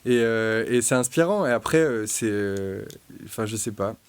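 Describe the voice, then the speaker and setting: Reflective young man, halting and dry. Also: lowish voice, lively intonation, smooth, no room sound. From France. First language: French. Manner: hesitant, many fillers and restarts, reflective. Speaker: guest musician. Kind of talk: casual podcast conversation.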